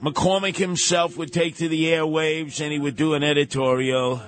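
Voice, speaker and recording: A man's voice: on the high side, flat delivery, moderate pace. A man with a New York accent hosting a talk radio show, delivering a combative, emphatic, slangy monologue. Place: radio studio. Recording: music playing behind the voice.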